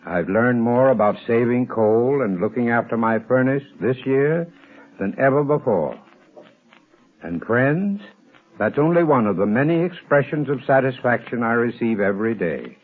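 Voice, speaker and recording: A man playing an earnest, courteous heating expert, delivering a formal, deliberate scripted 1930s radio ad. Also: mid-range voice, expressive, natural voice. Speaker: advertising spokesman, heating expert. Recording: muffled 1930s radio broadcast.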